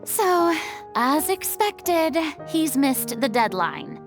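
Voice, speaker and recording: A woman voice acting a melancholy, earnest young woman in a video game, speaking in a formal, measured way. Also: high-pitched, very animated, over music, full character voice. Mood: dry.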